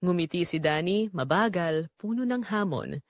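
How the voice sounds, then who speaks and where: Female audiobook narrator for romance drama. Lively, varied pitch, measured emotive delivery, very dry recording. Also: dry recording. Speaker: audiobook narrator reading a first-person romance story.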